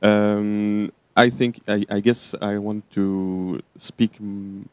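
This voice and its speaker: A man from Belgium speaks very slowly in a low, mellow voice, halting and self-deprecating. The recording is dry. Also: flat delivery, smooth, no room sound. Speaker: workshop participant.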